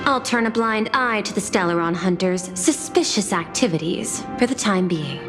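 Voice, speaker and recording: A woman's voice: medium pitch, expressive, moderate pace, close to an everyday voice. An actress playing a shrewd, controlling corporate woman in a video game, speaking precisely and with condescension. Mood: cool, calculating. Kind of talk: scripted video game voice acting. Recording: loud music under the voice. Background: game music.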